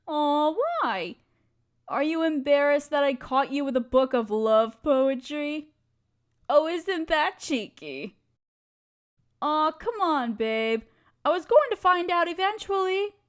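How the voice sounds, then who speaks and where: A woman's voice: high-pitched, expressive, slow pace. A woman playing a playful, affectionate wife who loves poetry, theatrical and teasing, in a romantic audio roleplay.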